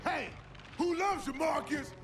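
An actor playing a huge, exuberant middle-aged soldier in a video game, hearty and boisterous. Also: male voice, extremely high pitch, measured pace, raised voice, over music. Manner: whooping, hearty.

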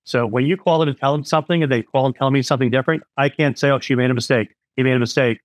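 A man's voice: medium pitch, fairly even intonation, racing, dry recording. Middle-aged man explaining insurance, dry sound. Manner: frank, with frequent "um" and "you know". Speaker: independent insurance agent, guest. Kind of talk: explanatory interview talk.

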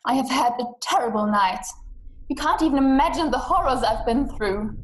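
High female voice, agitated entitled wife, acted by a young woman, complaining and self-pitying, theatrical drama, roomy laptop call sound. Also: animated, normal speed. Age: young adult. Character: anxious, demanding, self-pitying invalid wife. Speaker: actress. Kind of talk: stage acting in a radio-play adaptation. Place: small room indoors. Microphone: webcam video call.

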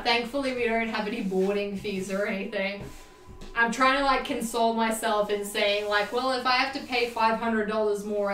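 A young Australian woman chatting to her livestream viewers, animated and self-mocking, laughing often. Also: a little high, slight background noise. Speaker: livestream host. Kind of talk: casual livestream chat. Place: home sewing room. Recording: roomy, distant, faint music behind.

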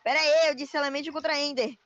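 A man's voice: very high-pitched, quick pace, no room sound. A young male host casually chatting and teasing friends while playing an online game. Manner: excitable, teasing, sing-song outbursts. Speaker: channel host. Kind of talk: casual gameplay chat. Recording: dull lo-fi voice chat.

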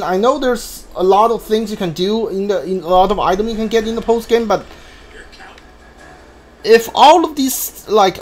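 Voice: medium pitch, a little hiss or hum. A video game streamer giving casual, hesitant commentary while thinking aloud.

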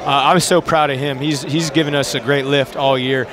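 A man's voice: mid-range voice, steady tone, fairly quick, poor audio. A young man, a basketball coach, talking informally and earnestly in a post-game interview on a headset mic. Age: young adult. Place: courtside in an indoor arena. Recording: crowd noise in busy arena.

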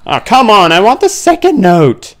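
A man's voice: high voice, huge pitch swings, normal speed. A man giving casual let's play commentary, thinking aloud in a grumbling, playfully exclaiming way. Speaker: gamer playing a horror game on his channel.

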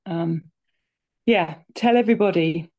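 A woman's voice: on the low side, unhurried. A middle-aged woman chatting casually as host of a live video call. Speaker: artist hosting a live online roundtable. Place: indoors, small living room with a crackling fire. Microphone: laptop or phone on a video call at arm's length. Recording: slightly dull video-call sound.

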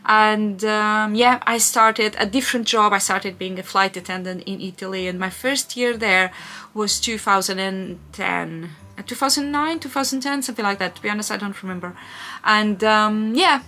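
Bright chatty Portuguese-accented woman. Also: medium pitch, normal speed. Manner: chatty, rambling, frequent fillers and restarts. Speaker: vlogger. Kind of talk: casual Q&A chat.

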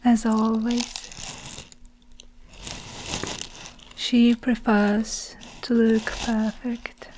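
A young woman tells a story in a breathy ASMR whisper. She moves extremely slowly and deliberately, leaving long gaps between phrases. Her delivery stays even and very mellow. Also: on the high side, slight background noise, rough recording. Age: young adult. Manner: slow, hushed, deliberate, with long pauses. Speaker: ASMR performer narrating a story.

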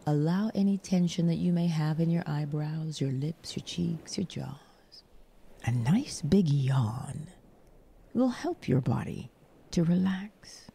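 A woman guiding a sleep meditation in a soothing, breathy voice that often drops near a whisper, with unhurried pauses between short instructions. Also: low voice, lively intonation, mellow. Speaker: guided meditation host.

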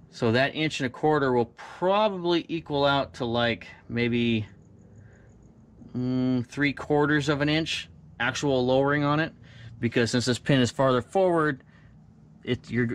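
A young man in a garage at night, casually explaining, step by step, how he lowers his car, picked up by a camera mic on the floor. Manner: laid-back, laughs easily, explains step by step. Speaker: car vlogger working on his car. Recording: tool clatter and ratchet noise.

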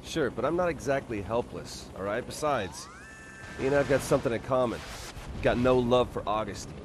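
A man's voice: medium pitch, normal speed, close to an everyday voice. Male actor for cocky young game hero, hot-headed and sarcastic, casual delivery, city noise behind. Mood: earnest. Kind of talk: video game acting.

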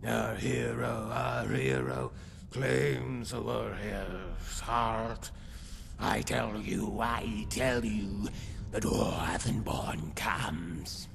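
Slow, gravelly, boastful lizard-man. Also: on the low side, a little hiss or hum, exaggerated voice. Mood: grandly reciting. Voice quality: gravelly, breathy rasp. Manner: slow, pompous, theatrical storytelling. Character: boastful, eccentric Argonian lizard-man, self-proclaimed hero. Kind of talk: fantasy video game character monologue.

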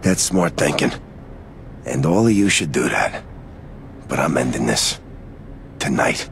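A man's voice: very deep voice, lively intonation, unhurried, vocal fry, big-room sound, slight background noise, heavily acted. A man voicing a brooding, tough mob boss with a New York accent in a video game, terse and streetwise. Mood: grim, resolute. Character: brooding tough male mob boss, haunted by lost love. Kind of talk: video game dialogue and monologue.

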